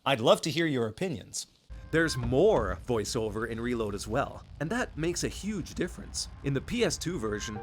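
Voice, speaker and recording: Animated man narrating a gaming essay. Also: medium pitch. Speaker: video game essayist and channel host. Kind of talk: scripted video essay narration.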